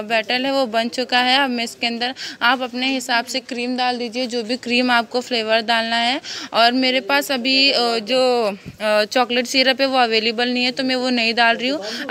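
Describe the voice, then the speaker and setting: A woman's voice: a little high, steady tone, moderate pace, sharp tone. A young woman explaining a home recipe step by step in a casual, chatty way with many fillers, filmed on a phone in her kitchen. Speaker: cooking vlogger. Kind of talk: casual step-by-step cooking demo. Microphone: phone camera filming hands from above.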